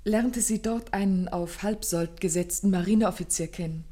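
An actress playing an anxious, grieving young gentlewoman who tells her story formally and intensely in a German radio play. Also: lowish voice, moderate pace, lightly acted. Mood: grave, composed. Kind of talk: German radio play acting, dramatic first-person narration.

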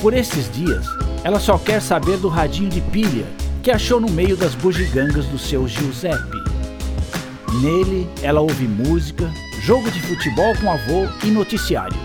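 A man's voice: fairly high pitch, very animated, moderate pace, over music. A man narrating a children's radio drama as a playful, theatrical storyteller. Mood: playful storytelling. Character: lively storyteller of a girl's adventures.

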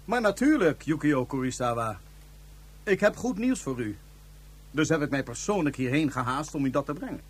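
A smug space villain in a radio drama, with a husky voice that swoops theatrically. He is pompous and mockingly courteous, pitched fairly high. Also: expressive, normal speed. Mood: smug, mock courteous. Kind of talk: Dutch science fiction radio play acting.

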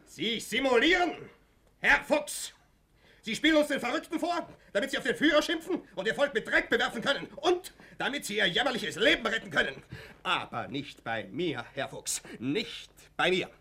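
An actor playing an officious, indignant Nazi-era doctor in a German radio play, formal, clipped and sarcastic. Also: male voice, very high voice, sing-song, normal speed, sharp tone, breathy edge, heavily acted.

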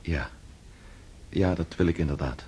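A man's voice: extremely low pitch, a little slow, warm tone, slightly rough, exaggerated voice. An actor playing an earnest, hesitant young count in a radio drama, speaking formally as he seeks to reform himself. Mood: earnest, uncertain. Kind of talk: radio drama acting and first-person narration.

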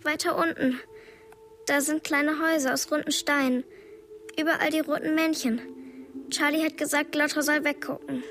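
A timid, childlike seer in a radio drama. The voice is high and halting, full of hushed wonder, with clear ambient noise around it. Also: female voice, steady tone. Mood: eerie wonder. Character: childlike, timid, trance-like seer describing visions. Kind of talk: German radio drama acting.

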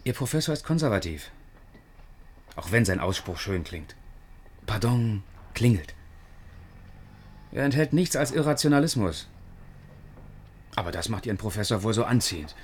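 A man's voice: on the low side, very animated, normal speed, pauses often, slight background noise. An actor playing a self-assured, argumentative male truck driver with an ironic, declaiming turn in radio drama dialogue. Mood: combative.

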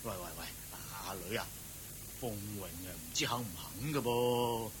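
A man's voice: fairly low pitch, moderate pace, noisy background, lightly acted. A man playing a timid, evasive older father-in-law in a Cantonese radio drama, stammering and hemming. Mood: hesitant.

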